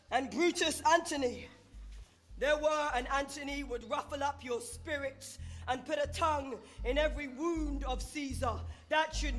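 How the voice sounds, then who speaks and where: A woman playing an impassioned, grieving Roman orator who slyly rouses a crowd, declaiming a Shakespearean stage speech with weighted rhetorical pauses. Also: sits high. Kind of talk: theatrical Shakespearean stage oration.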